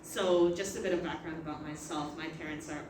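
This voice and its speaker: Soft-spoken woman, echoey and distant. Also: deep voice, fairly even intonation, brisk. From Canada. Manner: reflective, laughs often. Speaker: panel moderator. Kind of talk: casual panel discussion. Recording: echoey room, far from mic, noisy.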